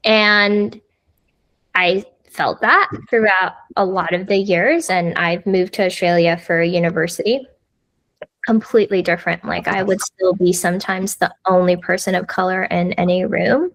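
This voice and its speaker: A woman's voice: lowish voice, fairly even intonation, normal speed, a bit bright, no echo. A woman, a podcast guest talking casually with lots of fillers. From United States. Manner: chatty, lots of fillers like "like" and "you know". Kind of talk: casual conversational interview.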